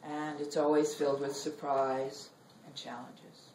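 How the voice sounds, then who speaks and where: A middle-aged woman giving a live poetry reading in measured, deliberate phrases with dramatic pauses. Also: low-pitched, flat delivery. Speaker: poet reading own work. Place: library reading room. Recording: roomy, noisy recording, distant from mic.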